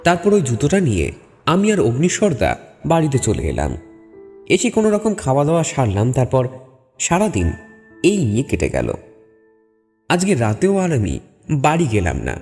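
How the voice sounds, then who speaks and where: An actor playing a detective's doubtful young sidekick, telling an audio story expressively. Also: male voice, mid-range voice, wildly swooping pitch, moderate pace, pauses often, darkish tone. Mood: narrating. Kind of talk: dramatic audio story narration and dialogue. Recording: reverberant voice over music bed.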